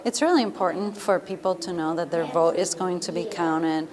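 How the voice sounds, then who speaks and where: A woman's voice: lowish voice, normal speed. An American woman explaining things as an official spokesperson in a news interview. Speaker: government communications director, interviewee. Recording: busy room chatter behind.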